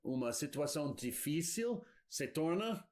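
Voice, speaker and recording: Guy interpreting a sermon, kinda high voice, lots of pauses and restarts. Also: very animated, darkish tone. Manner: hesitant, searching for words, fillers and restarts.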